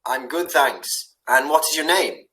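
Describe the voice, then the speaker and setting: A man's voice: fairly high pitch, expressive, measured pace, pushed and bright, rough recording. A man with a Northern English accent, tutoring English on a video call, friendly and casual, repeating words as he searches for them. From born in Italy, raised in England. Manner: friendly, stumbling repeats, frequent "yeah". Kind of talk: casual online tutoring conversation.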